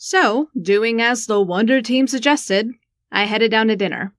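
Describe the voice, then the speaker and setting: A woman's voice: a little high, measured pace, close to an everyday voice. A woman playing a sarcastic, brash young female ruler in an audio drama, slangy and irreverent. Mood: narrating, wry. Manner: flippant, slangy, sardonic. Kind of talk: audio drama acting with first-person narration.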